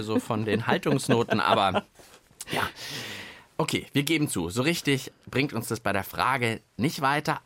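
Male co-host for kids' science audio, animated intonation, dry studio sound, casual banter. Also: mid-range voice, no echo. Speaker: co-host of a children's science show. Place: radio studio.

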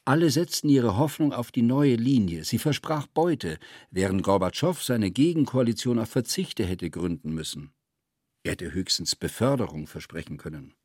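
A man's voice: on the low side, animated, normal speed, darkish tone, breathy edge, no room sound, studio quality. An actor playing a loyal, weary male presidential aide who gives terse, matter-of-fact answers in a scripted radio play interview. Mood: sober, matter-of-fact.

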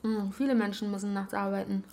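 An actress playing a guarded, standoffish young student in a naturalistic radio drama, with clipped, casual replies. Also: female voice, medium pitch, level tone, a little fast, creaky, darkish tone, close to an everyday voice. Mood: guarded, hurried. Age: young adult.

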